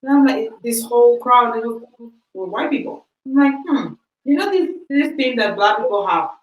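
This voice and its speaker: A woman's voice: fairly high pitch, animated, moderate pace, reverberant, far from the mic, rough recording. A woman whose first language is Spanish, telling a story on a podcast in a chatty way, acting out the quoted exchanges. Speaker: podcast host.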